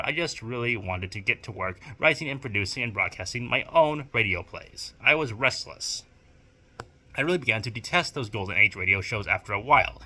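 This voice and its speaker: A young man delivers a scripted personal essay at a quick clip. His voice is very bright and sharp, sitting at an average male pitch. He sounds bookish and formal, stressing his points firmly. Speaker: video essayist and audio drama producer. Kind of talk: scripted narration, opinion essay.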